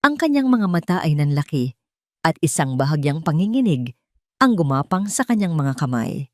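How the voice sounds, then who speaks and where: Low-voiced woman narrating, dry close sound. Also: very animated, normal speed, no room sound. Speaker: storyteller. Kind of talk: scripted dramatic narration of a love story.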